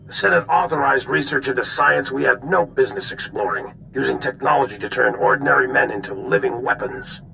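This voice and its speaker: An actor playing a weary, grave older general who gives deliberate military briefings in a video game. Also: male voice, mid-range voice, creaky, sharp tone, slight background noise, poor audio. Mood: grave regret. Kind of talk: dramatic video game dialogue.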